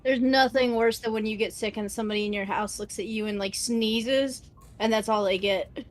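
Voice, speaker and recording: Chatty woman with a fairly high voice. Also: steady tone, moderate pace. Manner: chatty storyteller, swears. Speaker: friend in the game group. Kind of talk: casual voice chat.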